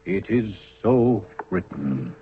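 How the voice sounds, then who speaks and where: A man acting in a 1970s radio drama as a high priest of ancient Egypt, formal, measured and ceremonial. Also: very animated, husky, full character voice. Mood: solemn, ceremonial. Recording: narrow phone-like vintage radio recording.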